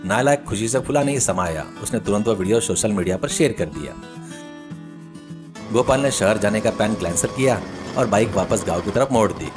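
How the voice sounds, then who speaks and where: A man's voice: fairly low pitch, lively intonation, a little fast, over music. A man narrating a scripted children's story as the storyteller.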